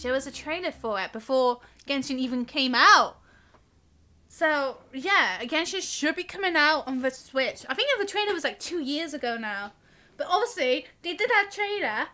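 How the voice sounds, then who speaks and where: A woman's voice: high voice, pushed and bright. A woman live streamer giving casual, chatty, giggly game commentary that rambles along. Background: game music.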